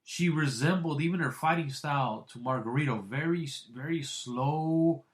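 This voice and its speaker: A man hosting a boxing podcast, rambling with many restarts and crude, blunt remarks, swinging between loud excited bursts and low mutters. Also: moderate pace. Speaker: podcast host. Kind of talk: casual boxing podcast commentary.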